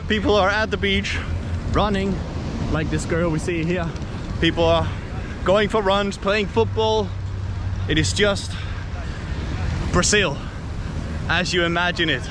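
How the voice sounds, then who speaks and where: A man's voice: sits high, a little slow, pauses often. A man from Denmark, chatting upbeat as a travel vlogger on a beach, recorded on a handheld camera. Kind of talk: casual travel vlog narration. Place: outdoors on a beach by the surf. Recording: loud surf and wind noise. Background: waves and beach ambience.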